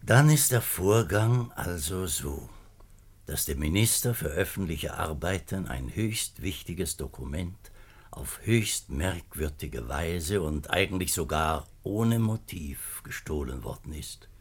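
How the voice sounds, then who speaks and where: An earnest, beleaguered government minister in a 1950s radio play. His voice is deep and husky, and he speaks slowly. Every phrase is formal and weighty, with worn dignity. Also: expressive, exaggerated voice. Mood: bitter, resigned. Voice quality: husky low voice. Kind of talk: 1950s German-language radio play acting.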